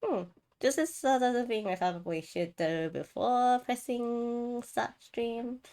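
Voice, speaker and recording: A woman's voice: fairly high pitch, lively intonation, measured pace, a little mellow. A woman hosting a game livestream, chatting casually and rambling as she thinks aloud. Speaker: game streamer, host.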